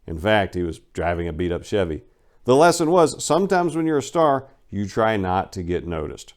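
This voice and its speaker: A man's voice: fairly low pitch, sing-song, normal speed. A man reading his own book as a dramatic first-person narration, with a colloquial, cocky storytelling manner.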